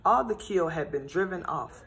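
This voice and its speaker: A woman reading a children's storybook aloud in a gentle, expressive storytelling manner. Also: fairly low pitch, normal speed, creaky, low-quality recording.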